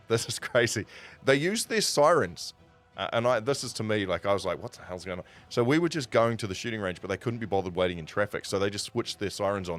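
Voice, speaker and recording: A man in his forties with a New Zealand accent, telling stories in a casual, rambling, conversational way on a live stream. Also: low voice. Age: 40s. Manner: rambling, conversational, self-correcting. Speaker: streamer and broadcaster.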